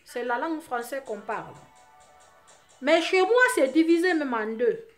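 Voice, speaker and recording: A woman from Guinea with a Guinean French accent, Susu her first language, talking to her fans in an impassioned vlog, her voice often rising into loud, forceful outbursts. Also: high-pitched, animated, unhurried, faint music behind. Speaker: vlogger addressing her fans. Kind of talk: impassioned monologue to camera.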